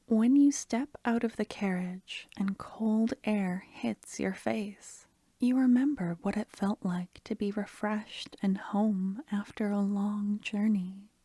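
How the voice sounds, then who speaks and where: Female ASMR narrator: soft half-whisper, mellow and dry, soothing measured storytelling delivery. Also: mid-range voice, dry recording. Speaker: ASMR storyteller and channel host. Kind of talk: softly spoken scripted second-person narration.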